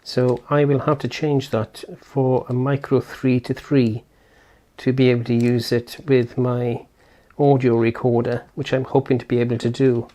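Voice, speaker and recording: A man's voice: medium pitch, little pitch movement, normal speed, dark tone. A man giving a casual, unscripted product unboxing and setup walkthrough, thinking aloud as he goes. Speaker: video host.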